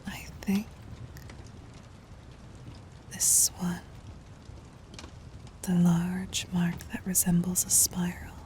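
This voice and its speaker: A woman playing a gentle, doting demon queen in an ASMR roleplay, in a soft breathy whisper with tender, formal, intimate delivery. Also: on the low side, slight background noise. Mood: soothing, attentive.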